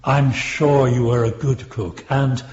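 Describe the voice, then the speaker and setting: An actor as a slow, patronising English barrister. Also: male voice, medium pitch, fairly even intonation, darkish tone, close to an everyday voice. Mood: insinuating. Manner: condescending, insinuating, courtly. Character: smooth, patronising Victorian prosecuting barrister. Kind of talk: British radio drama acting. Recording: echoey, muffled courtroom-like recording.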